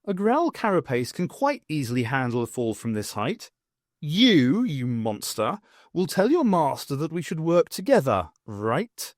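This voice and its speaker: A man voicing a quick-witted woman archaeology professor, wry and commanding, in an audiobook. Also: a little high, big pitch swings, dry recording, clean studio sound.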